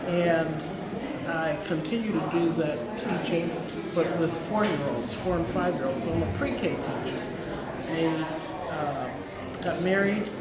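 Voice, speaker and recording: A woman in her sixties from Milwaukee, a pre-K teacher, introducing herself haltingly with many ums. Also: lowish voice. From Milwaukee, Wisconsin. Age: 60s. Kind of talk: self-introduction to camera. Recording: roomy hall with crowd noise behind.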